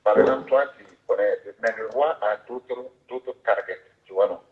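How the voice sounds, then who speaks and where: Tinny telephone line, man, lowish pitch, West African French accent, halting delivery, word-searching, private conversation. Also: over a noisy crowd. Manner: halting, searches for words, vague coded wording. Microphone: phone. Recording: tinny narrow-band phone line.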